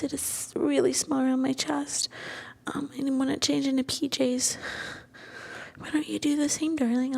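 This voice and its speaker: A woman playing a shy, sweet young student girlfriend in an ASMR roleplay, speaking softly and breathily with gentle hesitation, often slipping into a whisper. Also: high-pitched, creaky, husky, full character voice. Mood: gentle, caring.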